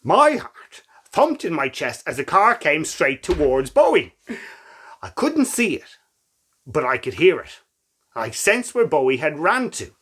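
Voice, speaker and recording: A man's voice: a little high, sing-song, a little slow, fairly bright tone, breathy edge, exaggerated voice. A man playing a brave, affectionate young woman who is blind, in a dramatic, tender storybook reading. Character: brave, affectionate blind girl, college student.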